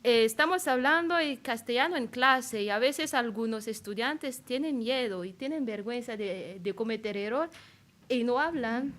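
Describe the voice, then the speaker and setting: A woman's voice: on the high side, moderate pace, fairly bright tone. A young woman from Madagascar speaking a language that is not her first, telling a personal story at a colloquium, hesitant and searching for words. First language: not Spanish. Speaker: panel speaker. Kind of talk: personal account at a public colloquium.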